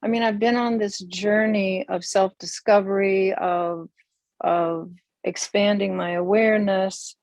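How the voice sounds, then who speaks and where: An older woman and community elder, speaking reflectively on a video call from a room that looks onto a forest, with many pauses and restarts. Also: medium pitch, creaky, a little mellow, no echo. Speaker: interview guest, community elder.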